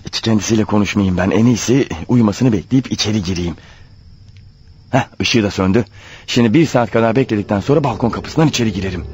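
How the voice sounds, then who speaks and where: A man's voice: lowish voice, quick pace, a little mellow, lightly acted. An actor in a radio play voicing a desperate, nervous man turned amateur burglar, hesitantly talking to himself. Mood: resentful, scheming. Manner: hesitant, muttering to himself, rehearsing and exclaiming. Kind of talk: radio play monologue, thinking aloud. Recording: dull lo-fi radio drama over music.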